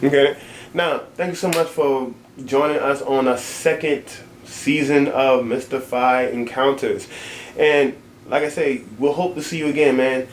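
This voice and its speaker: A man's voice: mid-range voice, steady tone, unhurried, echoey room, slight background noise. A man hosting a casual interview, talking in an upbeat, promotional way. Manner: upbeat, promotional, repeats words. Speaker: interview host.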